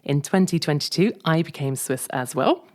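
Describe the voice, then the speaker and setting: A British woman with a notably low voice co-hosts a relaxed podcast chat. She is talkative and teasing, and laughs easily at her partner. She sounds like she is in her thirties. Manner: chatty, teasing, quick to laugh. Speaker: podcast co-host. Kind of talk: casual chat.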